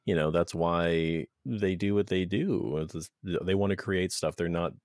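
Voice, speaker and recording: Low voiced podcast host guy, friendly and a bit wry, dry close mic. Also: steady tone, a little slow, darkish tone, no room sound. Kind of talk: hosting an interview podcast.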